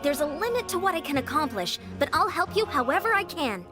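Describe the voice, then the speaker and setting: A woman's voice: sits high, big pitch swings, brisk, music in the background. A woman playing an earnest, modest and polite young woman warrior in a video game, speaking sincerely and carefully. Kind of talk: video game character voice acting.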